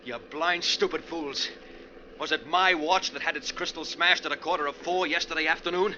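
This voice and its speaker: A defiant, urgent passenger in an old radio thriller. His voice is fairly high and very sharp, accusing and insistent, on a muffled recording with noise behind it. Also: moderate pace. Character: defiant, urgent male passenger exposing a killer. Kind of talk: 1940s radio acting.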